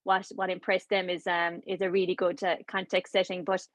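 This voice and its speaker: A woman's voice: on the low side, little pitch movement, fairly quick, dry recording. A woman hosting an online panel interview, encouraging and full of fillers and repeated words. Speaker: webinar host.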